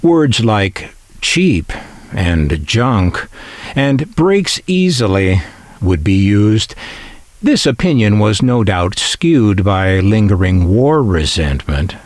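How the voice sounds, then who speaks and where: An older American man narrating a scripted collector's video in a wry, measured, self-deprecating manner. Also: fairly low pitch, very animated, no echo. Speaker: host of a vintage radio collecting video.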